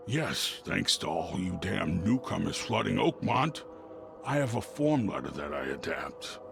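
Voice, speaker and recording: A scornful game moneylender. His voice is low and extremely gravelly, delivered with sly, surly smugness over clearly audible music. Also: sing-song, a little slow, full character voice. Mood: surly, smug. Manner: surly, smug. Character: sly, grumbling male moneylender, scornful of newcomers. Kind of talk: video game dialogue acting.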